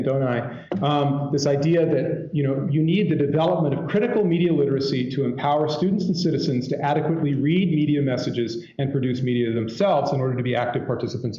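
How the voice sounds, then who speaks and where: A man's voice: mid-range voice, dark tone. A man giving a keynote lecture in a conversational, digressive way with wry asides. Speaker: keynote speaker, academic lecturer. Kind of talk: conference lecture to an audience. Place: indoor lecture hall. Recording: echoey room, dull muffled sound.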